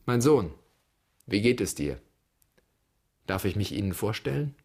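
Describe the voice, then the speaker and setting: Casting: imagined dead father in a radio play, low-ish and husky, terse, insistent. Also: big pitch swings, measured pace, a little mellow, full character voice. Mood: commanding. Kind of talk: literary radio drama.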